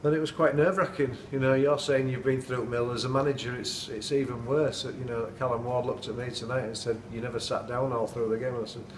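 Middle-aged man, football manager, earnest and flat, noisy room sound. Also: medium pitch, quick pace, hardly any pauses, far from the mic. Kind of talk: post-match interview. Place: indoors at night, dim room. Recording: echoey room, off-mic, noisy.

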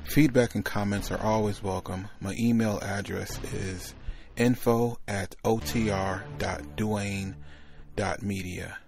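Low, gravelly male podcast host. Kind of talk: plain scripted outro. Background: music.

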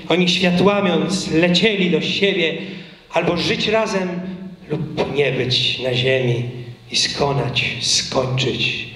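A man in his thirties reciting a poem on a concert stage in a slow, emphatic, theatrical way. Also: a little high, pushed and bright. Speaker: singer and reciter. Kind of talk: dramatic poetry recitation. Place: concert stage in a culture centre hall. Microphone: vintage stand microphone. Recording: roomy hall echo.

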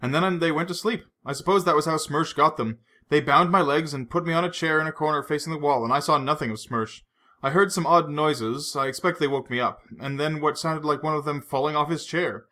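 A man's voice: fairly high pitch, fairly quick, bright, forceful tone. A man reading aloud and voicing a shaken, apologetic young woman with an earnest, well-spoken manner. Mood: recounting.

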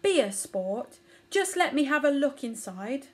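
A woman's voice: high-pitched, big pitch swings, a little slow. A woman voicing a cheeky, confident little mouse in a children's story, chatty, brash and teasing. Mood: coaxing.

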